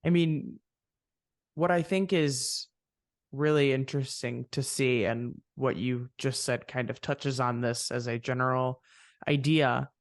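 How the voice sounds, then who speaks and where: A man's voice: mid-range voice, fairly even intonation, unhurried, no room sound, studio quality. A man hosting a casual music interview podcast, talking reflectively and rambling through long thoughts. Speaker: podcast host.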